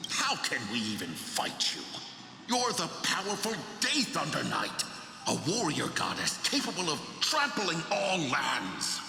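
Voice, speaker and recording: A performer playing a frightened, awed soldier in a fantasy game, dramatic and creaky. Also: mid-range voice, wildly swooping pitch, unhurried, pushed and bright, heavily acted. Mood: fearful awe. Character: frightened soldier facing a warrior goddess. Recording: echoing hall, faint music.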